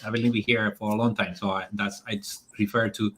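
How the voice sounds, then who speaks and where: A man from the Dominican Republic with a Dominican Spanish accent, speaking as a guest in a casual political discussion in a halting, conversational way. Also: on the low side, flat delivery, a bit bright, slightly rough. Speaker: guest on a talk show.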